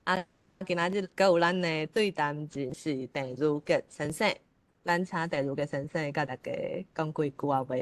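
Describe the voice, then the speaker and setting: Female event host, Taiwanese accent, lower register, dry close sound, polite and slightly halting delivery. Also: no echo. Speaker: host of an online literary forum. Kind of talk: informal event hosting.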